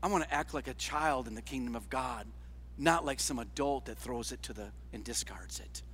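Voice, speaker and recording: A man preaching a sermon as a pastor, conversational and emphatic, tossing out rhetorical questions and swinging between raised and hushed delivery. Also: mid-range voice, moderate pace, slight background noise. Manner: conversational, with rhetorical questions and tags like "all right?". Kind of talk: sermon to a congregation.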